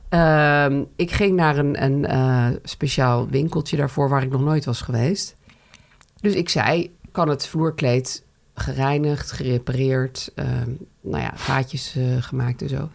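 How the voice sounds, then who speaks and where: A woman's voice: very deep voice, steady tone, takes it slowly, gravelly. A woman co-hosting a podcast, telling a story in casual chat with many fillers and restarts. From Netherlands. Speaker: podcast co-host.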